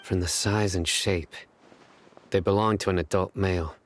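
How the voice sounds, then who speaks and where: An actor playing a stern, stoic young lawman in a video game, speaking in a terse, measured, deadpan way. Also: sits low. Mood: analytical, calm.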